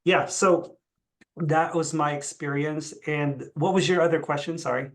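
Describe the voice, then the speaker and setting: Man with a creaky voice. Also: a little high, fairly even intonation, a little slow, fairly bright tone. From Philippines. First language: Tagalog. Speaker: interview guest, chief executive. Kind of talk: conversational personal account.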